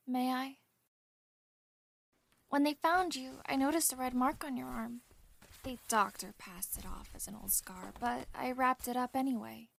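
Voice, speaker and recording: An actress playing a devoted, anxious young royal attendant in a fantasy audio drama, earnest and deferential. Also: female voice, sits high, vocal fry, a bit bright, exaggerated voice.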